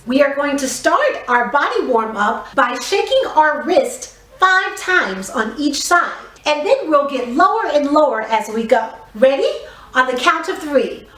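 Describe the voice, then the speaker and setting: Lively, high-voiced woman teaching children drama. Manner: encouraging, instructional, clearly phrased step-by-step directions. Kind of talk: guided theatre warm-up lesson for young children. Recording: roomy echo, distant microphone.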